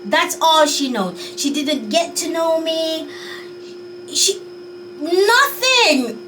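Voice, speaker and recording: A woman's voice: very high voice, expressive, extremely slow, recorded from a distance, background noise. A Jamaican woman vlogging in a chatty, unsure way, sighing as she thinks things over. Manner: chatty, musing and unsure, with sighs. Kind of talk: casual talk to camera.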